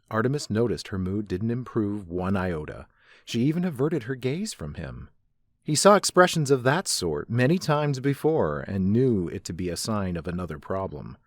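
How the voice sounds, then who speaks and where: A male narrator reads a scripted story. His low voice moves expressively through the lines, and the recording is dry and close. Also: big pitch swings, moderate pace, no echo.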